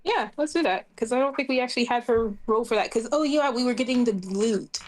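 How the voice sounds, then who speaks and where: A woman's voice: fairly high pitch, brisk. A woman chatting casually and playfully as a player in an online tabletop roleplaying game.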